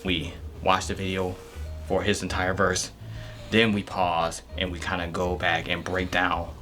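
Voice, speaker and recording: A man's voice: low-pitched, completely flat delivery, a little slow, sharp tone. An American man hosting a music reaction, chatting casually in a laid-back, slangy way. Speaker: music video reaction host. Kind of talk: casual reaction commentary chat.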